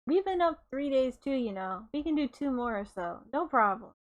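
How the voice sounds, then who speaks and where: A woman's voice: high-pitched, animated, moderate pace, lo-fi sound. A woman reads the part of a cheeky young male gamer monarch in a light novel. He is casual, glib and pleased with himself, treating idleness as serious work. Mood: smug, casual.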